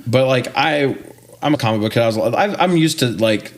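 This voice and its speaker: A man's voice: mid-range voice, expressive, fairly quick, slight background noise. A man in his forties co-hosting a podcast, chatting casually and telling stories in an informal, sweary, joking way. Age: 40s. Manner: informal, profane, jokey storytelling. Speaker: podcast co-host. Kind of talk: casual film review chat.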